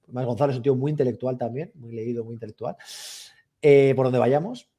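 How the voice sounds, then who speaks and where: A man's voice: medium pitch, fast, warm tone. A man hosting a casual interview, chatty and eager, often repeating his questions. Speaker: interview host.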